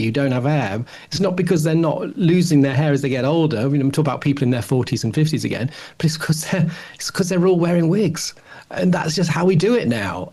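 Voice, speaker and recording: A man's voice: fairly high pitch, mellow. A man in his forties co-hosting a podcast, casually rambling through his opinions and thinking aloud with frequent false starts. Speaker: podcast co-host.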